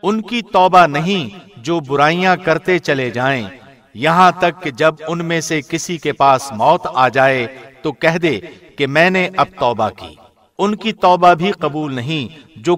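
Slightly high-voiced man, formal scripture reader. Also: fairly bright tone. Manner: measured, formal broadcast delivery. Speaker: translation reader, radio host.

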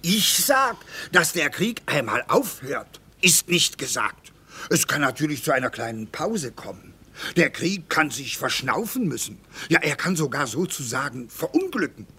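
A pompous army chaplain speaks in a fairly high, bright and forceful voice with a raspy edge. He holds forth in preachy, self-important rhetoric, in the manner of classic radio drama. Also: sing-song, measured pace, heavily acted. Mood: philosophizing, assured. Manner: rhetorical, declamatory. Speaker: actor in a radio play.

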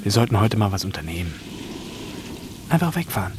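A man's voice: fairly low pitch, very animated, fairly quick, background noise. An actor playing a brooding man in a radio drama, muttering his restless private thoughts in short fragments. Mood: restless, wavering. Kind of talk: radio drama interior monologue. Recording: loud rain and thunder over voice.

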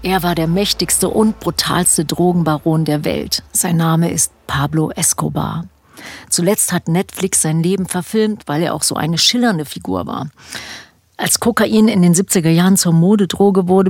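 A woman with a husky voice, narrating a scripted podcast introduction to a true-crime audio drama. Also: lowish voice, normal speed, a little mellow, dry recording. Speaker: podcast host.

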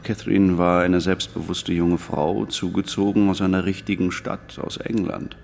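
An actor playing a calm island police detective in a radio drama, speaking in a measured, understated way. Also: male voice, low voice, vocal fry. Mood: measured, reflective. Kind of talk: naturalistic German radio drama dialogue.

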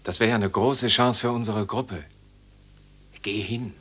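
An urgent, conspiratorial resistance comrade in a radio play. His voice is low and husky, with naturalistic, urging delivery. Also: fairly even intonation, unhurried, fairly bright tone. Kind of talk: naturalistic radio drama dialogue.

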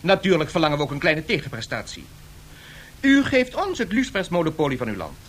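A high, animated young business envoy. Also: male voice, fast talker, husky, full character voice. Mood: eager, persuasive. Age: young adult. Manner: eager, theatrical. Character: eager young male financier's envoy, smooth salesman. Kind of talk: satirical radio drama acting.

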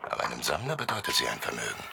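A man's voice: extremely low pitch, huge pitch swings, rapid-fire, creaky, heavy background noise, exaggerated voice. A man playing a delirious dying gangster in a psychedelic radio drama, rambling erratically and slipping into whispers. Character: delirious dying male gangster, muttering and pleading. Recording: voice over loud music.

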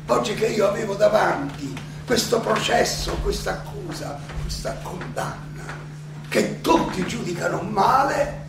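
Aged condemned philosopher, stage monologue, very slow and deliberate, defiant, serene, questioning, heavily reverberant noisy recording. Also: male voice, high voice, vocal fry, exaggerated voice. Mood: reflective, confiding. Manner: deliberate, halting, rhetorical questioning. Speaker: stage actor. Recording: echoey, noisy recording from far off.

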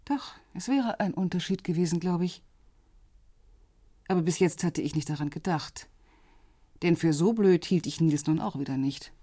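A middle-aged divorced woman in a radio drama. Her voice is fairly low and husky, her manner wry and guarded, with a bitter, sardonic edge. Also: normal speed, pauses often, mellow, no room sound.

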